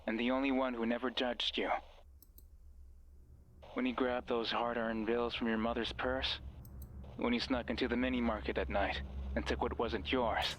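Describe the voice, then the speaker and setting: A man voicing a mysterious, manipulative horror game figure who speaks like a menacing false friend, taunting and deliberate. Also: mid-range voice, little pitch movement, a bit bright, close to an everyday voice, rough recording. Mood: menacing, intimate. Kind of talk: dramatic horror game voice acting. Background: background music.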